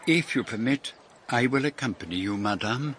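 A man's voice: mid-range voice, lively intonation, moderate pace, fairly bright tone, lightly acted. Male actor, courteous foreign detective, formal probing delivery, radio mystery drama, faint background noise. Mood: politely probing. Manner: formal, polite, probing questions. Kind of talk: radio drama acting and narration.

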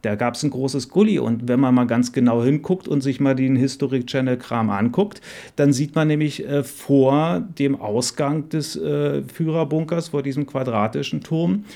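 A man giving informal interview answers as a researcher, speaking haltingly with frequent hesitations. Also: medium pitch, gravelly, mellow.

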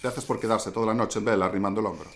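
A man playing a naval lieutenant in a radio drama, giving brisk orders under pressure. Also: on the low side, steady tone, a little hiss or hum, natural voice. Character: naval lieutenant, urgent and commanding.

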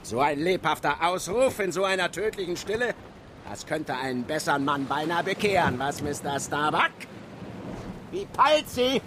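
Sardonic captain, wildly swinging pitch. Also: male voice, very animated, normal speed, noisy background. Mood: sardonic, exultant. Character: grim commanding old whaling captain, sardonic and brooding. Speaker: ship's captain. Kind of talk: radio drama acting.

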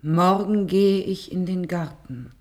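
Meek, hushed young woman. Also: on the low side, slow pace. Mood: compliant, puzzled. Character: meek, puzzled young woman as experiment subject. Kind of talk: German radio play acting.

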